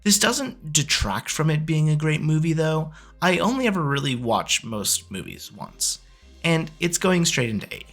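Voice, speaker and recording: A man's voice: a little high, animated, moderate pace. A man narrating a scripted video review in a casual, opinionated way. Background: background music.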